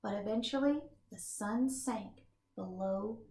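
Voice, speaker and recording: A woman reading a children's picture book aloud in an expressive, animated way with dramatic pauses. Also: medium pitch, roomy sound, rough recording. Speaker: storybook reader.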